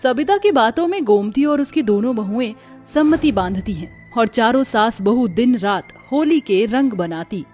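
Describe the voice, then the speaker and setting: A woman's voice: a little high, animated, moderate pace, a little mellow, soft background music. A woman giving scripted, dramatic narration of a story.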